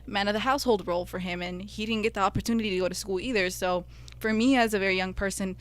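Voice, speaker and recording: A young woman whose first language was Spanish speaks earnestly about her own life as a podcast co-host. Her voice sits in a middle pitch, and she tells her story in a sincere, reflective way. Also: fairly even intonation, fast talker. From United States. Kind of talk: personal reflective podcast talk.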